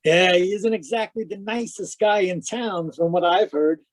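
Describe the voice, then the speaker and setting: A man's voice: high voice, moderate pace, dry recording, lightly acted. A man playing a chatty, curious police station colleague in a radio mystery, casual and inquisitive. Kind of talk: 1940s radio mystery acting.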